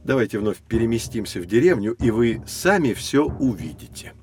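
A man playing a knowledgeable guide in an educational audio drama, explaining things in a measured, instructive way. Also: mid-range voice, animated, a little mellow, faint music behind, lightly acted. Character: knowledgeable adult guide leading children through prehistory.

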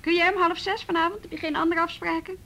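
An actress playing a businesslike female team leader in a naturalistic radio drama, brisk and conversational. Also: very high-pitched, steady tone, creaky, bright, forceful tone, slight background noise, heavily acted. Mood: brisk, practical.

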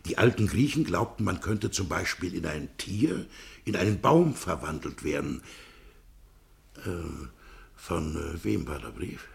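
An actor playing a meek, flustered middle-aged husband in a German radio play, hesitant and deferential. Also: male voice, low voice, expressive, unhurried, vocal fry, heavily acted.

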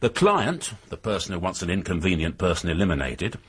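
An actor playing a shrewd, methodical British police inspector in a radio drama, speaking in a measured, formal way with a husky voice. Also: male voice, low voice, very animated, fairly bright tone, heavily acted. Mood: calmly relentless.

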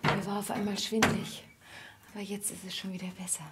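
An actress playing an anxious, devoted young woman in a radio drama, breathy and hushed, answering hesitantly. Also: medium pitch, level tone, a little fast, heavy background noise, close to an everyday voice. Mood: faint, guarded. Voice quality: breathy, whispery. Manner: hushed, hesitant, brief replies.

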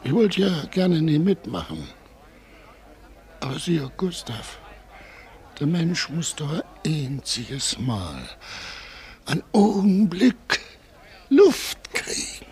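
A tired old weaver in a radio play. His speech is very slow and emotional, swinging in pitch and sinking toward whispers. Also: huge pitch swings, darkish tone, full character voice. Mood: wistful. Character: weary old weaver longing for freedom. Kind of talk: German radio drama acting.

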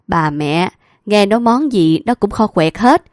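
A woman's voice: medium pitch, animated, measured pace, a little mellow, dry recording, studio quality, close to an everyday voice. A woman voicing a teasing, jovial older male landowner with a Southern Vietnamese accent and blunt rustic talk.

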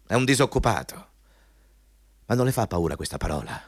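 An arrogant male company boss, bullies whoever sits across the desk. His pitch swings widely as he pompously hammers on repeated words. The studio sound is very dry and close. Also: sing-song, fairly quick, no echo. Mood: menacing, needling. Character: arrogant, bullying male company boss interviewing a job applicant. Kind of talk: Italian radio play acting.

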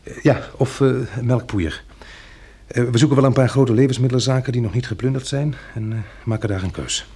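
An actor playing a practical, resolute man planning survival after a catastrophe in a Dutch radio drama, hesitating as he thinks aloud, with a husky, gravelly voice. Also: medium pitch, brisk, warm tone. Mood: businesslike.

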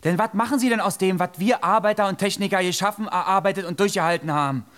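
Casting: young working-class firebrand, high-pitched, blunt and combative, radio play. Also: male voice, quick pace, fairly bright tone. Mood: indignant. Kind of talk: German radio drama acting.

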